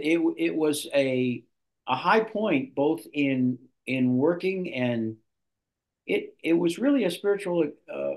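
An older man, a guest actor, telling long stories in an interview with lively restarts. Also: medium pitch, gravelly. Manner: animated storyteller, restarts a lot. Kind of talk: storytelling in an interview.

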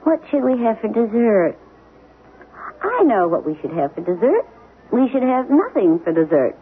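A woman's voice: a little high, sing-song, normal speed, a little hiss or hum. A woman playing a chatty, wry, nagging middle-aged wife in a 1970s radio drama. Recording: dull lo-fi 1970s radio recording, faint music.

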